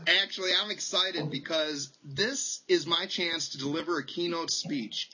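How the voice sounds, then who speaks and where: A man's voice: a little high, sharp tone, breathy edge, poor audio. A man on a late-night video call, chatting playfully and breaking into hammy announcer bursts. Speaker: social media speaker on a video call. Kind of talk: playful casual chat. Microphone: webcam on a video call.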